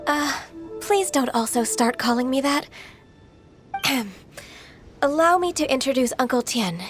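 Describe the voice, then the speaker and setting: Gentle, high-voiced horned maiden. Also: female voice, lively intonation, measured pace, over music. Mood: modest, formal. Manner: soft-spoken, hesitant, formal, polite. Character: gentle, earnest half-adeptus secretary woman with horns. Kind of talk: video game voice acting.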